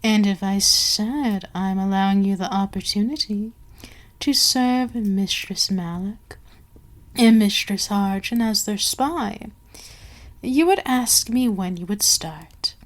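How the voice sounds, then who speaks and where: A woman playing an imperious, seductive and menacing vampire queen in an ASMR audio roleplay, haughty and deliberate, with some words sinking into a whisper. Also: medium pitch, lightly acted. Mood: commanding, menacing. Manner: slow, deliberate, haughty, commanding, dropping into whispers.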